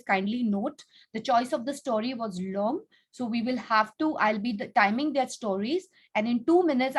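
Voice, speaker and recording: A woman with a fairly high-pitched voice speaks as a teacher and host on an online video call. She addresses children in an instructive way, laying out rules and prompting them with questions.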